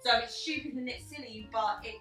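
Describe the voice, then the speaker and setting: A woman's voice: on the high side, fairly quick, pushed and bright. A woman in a casual group film discussion, giving emphatic opinions and swearing freely. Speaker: film review panelist. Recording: echoey room, distant mic, music behind.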